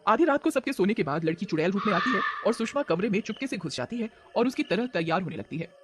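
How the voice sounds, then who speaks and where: High-pitched, lively male horror storyteller. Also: fast talker, background noise. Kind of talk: dramatized horror story narration.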